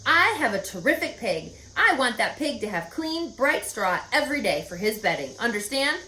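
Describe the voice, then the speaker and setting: A proud male farmer from a storybook, read by a woman, issues emphatic, boastful orders. The pitch is raised and very animated, in a roomy, echoing space. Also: moderate pace. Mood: proud, commanding.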